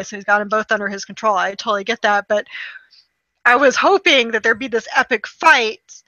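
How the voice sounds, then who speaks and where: A woman's voice: on the high side, bright, forceful tone, no echo, lo-fi sound. A woman in casual, informal conversation, telling stories expressively and quoting reactions as she goes. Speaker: podcast co-host reviewing movies. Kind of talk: casual chat, animated anecdote-telling.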